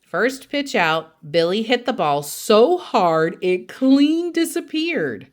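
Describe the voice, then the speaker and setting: A woman reading a children's story aloud as an animated storyteller, acting out the dialogue expressively. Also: on the high side, takes it slowly. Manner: acts out quoted dialogue expressively.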